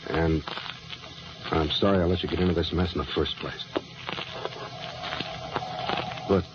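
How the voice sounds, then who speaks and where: An actor playing a tough, hard-bitten, weary gang leader in a radio crime drama, terse and blunt. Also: male voice, low-pitched, fairly even intonation, fairly quick, close to an everyday voice. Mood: weary, regretful. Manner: terse, slangy, blunt commands. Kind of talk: old-time radio crime drama acting. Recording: dull, noisy old radio recording.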